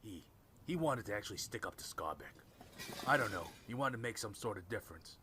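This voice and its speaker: A man playing a bitter, desperate young man on the run in an audio drama, talking roughly and swearing. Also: lowish voice, lively intonation, fairly quick, background noise, natural voice. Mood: bitter, dejected. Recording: music behind the voice.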